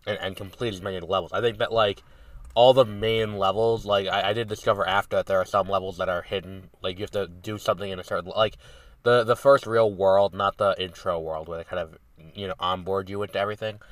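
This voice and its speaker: A low-voiced man with a head cold talks into a close podcast mic, his voice nasal and stuffed up. He rambles casually, filling gaps with ums and starting sentences over. The sound is dry, with no room around it. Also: fairly even intonation, quick pace, no room sound, recorded from a distance. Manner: rambling, many ums and restarts. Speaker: podcast host. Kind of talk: casual solo review talk.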